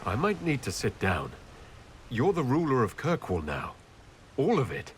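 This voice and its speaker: A man's voice: medium pitch, huge pitch swings, unhurried, a little hiss or hum. A man voicing a courteous elven leader in a fantasy video game, polite, earnest and composed. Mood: mildly amazed. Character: courteous young elven male leader of an Inquisition.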